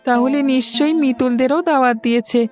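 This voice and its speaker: Kind young boy for a cartoon, played by a woman: high pitch, pleading, earnest, light music behind. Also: fairly even intonation, quick pace, a little mellow, natural voice. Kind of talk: Bengali cartoon voice acting.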